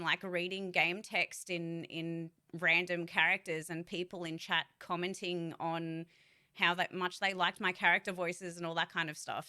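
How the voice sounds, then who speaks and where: A woman's voice: on the low side, pushed and bright. A woman voice actor and streamer giving a casual vlog update, candid and rambling, swearing freely.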